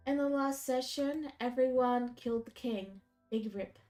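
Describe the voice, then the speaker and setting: A woman narrates a tabletop session in a loose, casual way, her voice a bit stuffy from a cold. She speaks in a somewhat high register. Soft music runs underneath. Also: measured pace. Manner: casual, relaxed narration with fillers. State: slightly congested from a cold. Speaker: game master.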